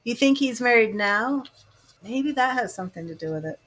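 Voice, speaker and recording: A woman's voice: on the high side, animated, moderate pace, a bit bright, a little hiss or hum. A woman giving live tarot readings, chatting to viewers by name and musing over the cards. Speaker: tarot reader.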